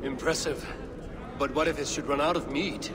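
An actor playing a courteous, quick-witted Norse god lord in a video game, formal and measured. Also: male voice, on the high side, very noisy, natural voice. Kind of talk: video game dramatic acting. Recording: loud outdoor ambience behind.

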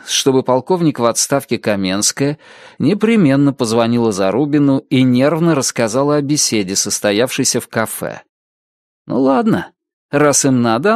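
A man reading a detective novel aloud as an audiobook narrator, with an expressive, varied delivery. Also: medium pitch, no echo, studio quality.

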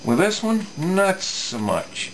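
A middle-aged man giving a casual hands-on technical explanation, thinking aloud haltingly with folksy asides. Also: sits high, big pitch swings, slight background noise, rough recording. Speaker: radio repair hobbyist presenting. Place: indoor electronics workbench.

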